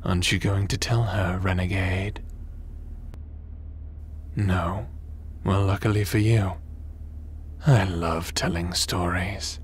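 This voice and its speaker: A smug, menacing sci-fi villain, acted by a man, speaks in a low register and takes his time over every phrase. He is taunting and theatrical, a ruthless crime boss from an audio drama, with noise audible behind him. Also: fairly even intonation, slow pace, pauses often. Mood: smug, cold. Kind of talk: audio roleplay acting.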